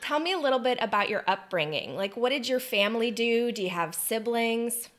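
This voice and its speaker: A woman's voice: on the high side, lively intonation, a little fast, fairly bright tone. A young woman hosting a podcast interview, upbeat and enthusiastic, recorded at home over a video call. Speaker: podcast host. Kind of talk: interview host. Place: home room, indoors.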